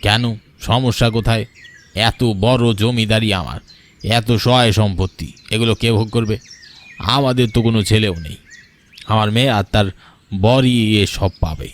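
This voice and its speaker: A man playing a proud, doting middle-aged landlord in an animated folk tale, speaking earnestly and emphatically. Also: lowish voice, unhurried, pauses often, close to an everyday voice. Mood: proud, insistent. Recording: music behind the voice.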